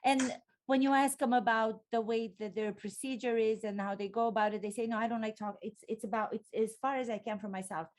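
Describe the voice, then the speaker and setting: A woman's voice: fairly high pitch, steady tone, fairly quick. A woman from Romania with a Romanian accent, hosting an interview and talking expressively as an acting coach. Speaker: podcast host and acting coach. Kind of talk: interview conversation.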